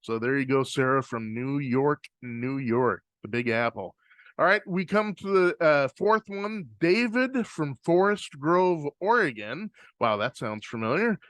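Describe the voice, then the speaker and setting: A man's voice: fairly high pitch, lively intonation, measured pace, fairly bright tone, no room sound. A man hosting a podcast and reading listener mail in casual chat, rambling with frequent fillers and restarts. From US Pacific Northwest. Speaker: podcast host. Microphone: close studio microphone.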